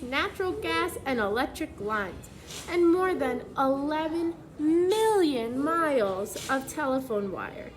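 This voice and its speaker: High-pitched woman reading aloud to children. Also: a little hiss or hum, poor audio. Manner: instructive, pausing to question young listeners. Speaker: preschool teacher. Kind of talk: children's picture book read-aloud with questions.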